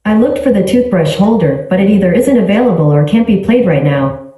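A synthetic woman's voice from a smart speaker, giving even, polite, clipped replies. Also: medium pitch, fairly quick. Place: small tiled bathroom. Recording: echoey bathroom, heard from a distance.

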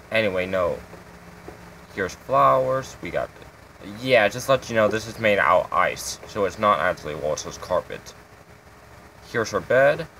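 A man's voice: on the low side, creaky, pushed and bright, slight background noise, low-quality recording. A man hosting his own game channel, giving a casual, rambling tour of his builds with lots of fillers.

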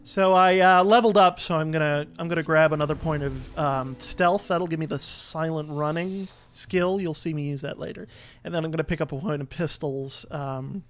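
A man giving casual let's play commentary, explaining the game in a halting way. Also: fairly high pitch, fairly bright tone. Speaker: gamer, let's play host.

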